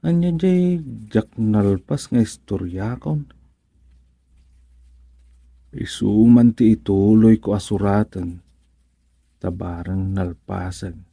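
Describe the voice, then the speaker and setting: A man's voice: lowish voice, takes it slowly, vocal fry, long pauses, dark tone, no room sound, exaggerated voice. An actor playing an easygoing village man who mutters and muses to himself, with an Ilocano accent, in a radio comedy-drama. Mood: musing, grumbling. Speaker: radio serial character.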